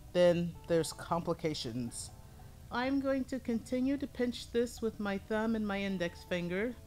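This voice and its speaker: A middle-aged woman with a low voice teaching a hands-on pottery lesson in a studio, explaining each step calmly as she works. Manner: calm, explains step by step while working with hands. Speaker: ceramics teacher.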